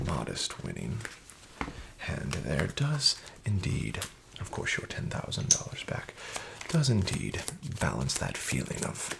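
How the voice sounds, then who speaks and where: A man in a soft-spoken ASMR roleplay as a casino dealer, half-whispering smooth, flattering patter. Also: sits low, background noise.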